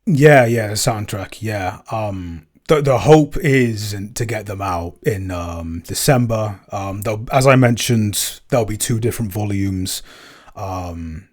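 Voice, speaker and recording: A man co-hosting a podcast in casual, unscripted talk, speaking haltingly and often repeating his words. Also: on the low side. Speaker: podcast co-host and composer.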